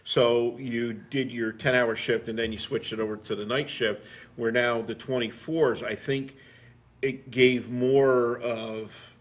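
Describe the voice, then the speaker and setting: A man's voice: mid-range voice, steady tone, a little slow. A middle-aged man, a firefighter, chatting casually and telling stories in a blunt, salty way. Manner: blunt, salty everyday talk. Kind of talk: casual conversational storytelling.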